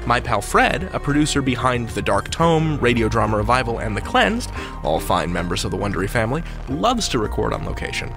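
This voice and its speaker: A man's voice: medium pitch, big pitch swings, brisk, vocal fry, music in the background. An American man hosting a podcast countdown with playful, theatrical scripted narration. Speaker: podcast host.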